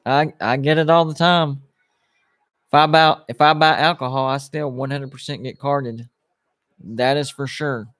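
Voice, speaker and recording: A man's voice: mid-range voice, steady tone, measured pace, fairly bright tone, no echo, clean studio sound. A man in his late thirties hosting a live sports watch party stream, chatting casually with viewers and rambling through his thoughts. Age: 30s. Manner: rambling, restarts, lots of "like" and "you know".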